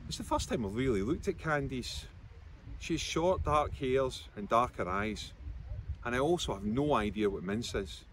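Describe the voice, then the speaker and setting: A man in his fifties reads fiction aloud with a lively, varied pitch. He is out in the open, with some faint noise around him as he narrates. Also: normal speed. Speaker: author reading from own novel. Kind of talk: narrated book reading. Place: outdoors by a seafront concrete wall. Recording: outdoor recording with background noise.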